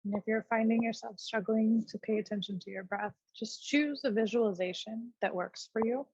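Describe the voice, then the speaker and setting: A woman teaching yoga and guiding a meditation in a gentle, unhurried way, leaving pauses between short phrases. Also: fairly high pitch, husky, no room sound, lo-fi sound. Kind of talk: guided meditation, unscripted class instruction.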